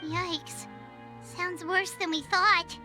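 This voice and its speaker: A woman voicing a tiny childlike fairy companion in a video game, cheeky and exclamatory, with a squeaky voice. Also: steady tone, very slow, full character voice. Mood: wry. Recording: loud music under the voice.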